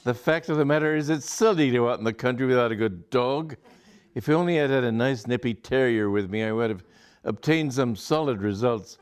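A flustered young gentleman suitor, as a man reads him aloud, stammering and tumbling into high, breathless outbursts. His voice jumps upward whenever he pleads or excuses himself. Excitable, in a storybook reading. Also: a little slow. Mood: excited pleading.

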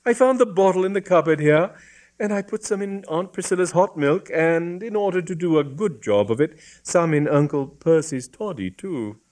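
A woman's voice: lowish voice, lively intonation, moderate pace, a little mellow, voice pushed up, natural voice. A man voicing an earnest, naive young woman with a plaintive, innocent manner in a comic story. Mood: breezy, innocent.

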